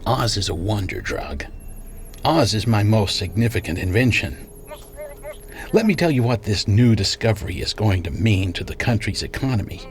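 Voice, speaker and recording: A man acting a menacing low-voiced corporate tycoon. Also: big pitch swings. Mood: boastful, intense. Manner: imperious, deliberate storyteller, sardonic. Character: domineering, menacing male corporate scientist and tycoon. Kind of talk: radio drama acting.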